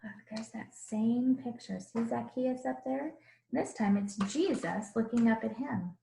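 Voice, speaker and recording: A woman's voice: on the high side, measured pace, vocal fry, noisy background. A woman kindergarten teacher reading a picture book aloud, expressively, with simple explanations for young children. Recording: roomy, echoing room sound.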